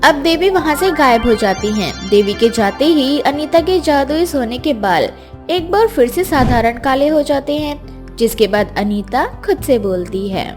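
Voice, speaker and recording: High-pitched woman narrating a fairy tale. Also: expressive, a little slow, darkish tone. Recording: music behind the voice.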